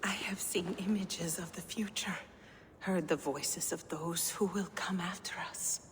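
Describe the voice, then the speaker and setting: Woman acting slow, hushed, solemn seeress. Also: on the low side, steady tone, natural voice. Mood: solemn, haunted. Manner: grave, halting, mysterious. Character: solemn, reclusive young seeress, daughter of a giant lord. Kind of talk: video game voice acting. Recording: long echoing reverb, faint noise.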